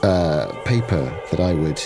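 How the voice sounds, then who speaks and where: Man, player, lowish voice, slow theatrical musing. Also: lively intonation, music in the background. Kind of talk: in-character roleplay narration.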